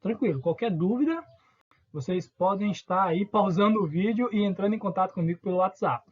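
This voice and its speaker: Northeastern Brazilian teacher with a husky voice. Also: male voice, high voice, fairly quick, muffled recording. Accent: Northeastern Brazilian Portuguese. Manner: informal, asks students questions and answers them. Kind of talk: recorded online lesson.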